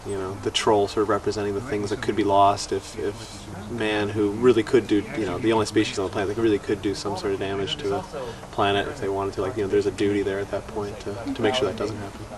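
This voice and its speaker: A man talking casually and haltingly in an informal documentary interview. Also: lowish voice, flat delivery, a crowd in the background. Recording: outdoors, distant mic, busy background bustle.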